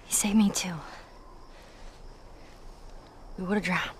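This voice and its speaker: A woman's voice: mid-range voice, steady tone, fast talker, close to an everyday voice. A woman playing a blunt, sarcastic teenage girl survivor with an American accent in a video game. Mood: wry. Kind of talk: video game cinematic acting. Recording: game audio with background noise, clicks.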